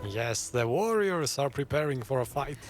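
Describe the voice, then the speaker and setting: A man's voice: medium pitch, expressive, moderate pace, over music. A man giving casual, playful commentary over a game, joking along with it. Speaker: gaming video creator.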